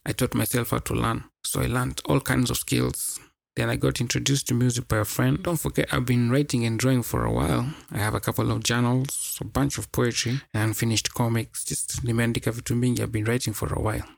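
A young man with a Kenyan accent hosts a casual solo podcast in a gravelly, mid-pitched voice. The recording is dry and close, and he talks in loose slang, doubling up words for emphasis. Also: level tone, a little fast, no echo. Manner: slangy, repeats words for emphasis, mixes in Swahili phrases. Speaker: podcast host.

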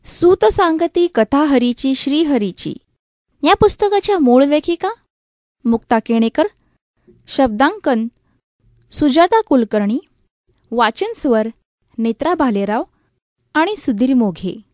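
A woman introduces a radio programme from a script. Her pitch is high and the sound is dry, with hardly any room around it. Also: expressive, slow pace, pauses often, darkish tone, no room sound.

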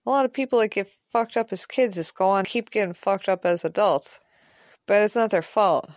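A woman's voice: medium pitch, moderate pace, no echo, natural voice. Woman playing a blunt, foul-mouthed, protective guy. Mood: pensive. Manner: rambling, profane, emphatic. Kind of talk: naturalistic audio drama dialogue.

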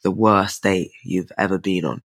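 A man's voice: low voice, flat delivery, normal speed, a bit bright. A man hosting a podcast while he has a cold, joking with his guest and then reading a scripted sign-off. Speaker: podcast host. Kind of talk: casual interview and scripted outro.

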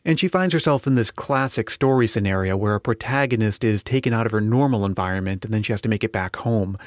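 A man's voice: on the low side, quick pace, no echo, studio quality. A man hosting an interview, asking prepared questions in an articulate, orderly way. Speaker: podcast host, interviewer.

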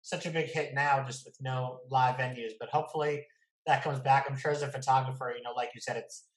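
A man's voice: medium pitch, fairly even intonation, a little fast. A man hosting a casual interview, chatting and putting questions to a guest in a roomy, echoing recording. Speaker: interview host. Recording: echoey, roomy sound.

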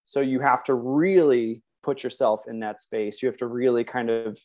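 A man's voice: mid-range voice, moderate pace. A man who works as a voice actor, chatting casually and enthusiastically as an interview guest. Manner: enthusiastic, animated self-interruptions.

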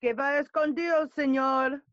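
High, bright, fawning young courtier. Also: female voice, steady tone, moderate pace, highs cut off, slight background noise. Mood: clowning. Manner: exaggerated, obsequious. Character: fawning, chirpy young male courtier, old school friend. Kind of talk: classical Shakespearean stage acting for audio play.

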